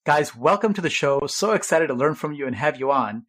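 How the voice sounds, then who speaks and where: A man's voice: fairly high pitch, expressive, fairly quick, a bit bright. A man hosting a medical podcast, speaking in an upbeat, gushing way. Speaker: podcast host.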